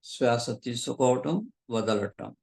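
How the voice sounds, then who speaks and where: Male meditation guide, gravelly medium register, short instructional phrases delivered calmly with generous pauses. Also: medium pitch. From southern India. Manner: calm, unhurried, with frequent pauses between short phrases. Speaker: meditation teacher.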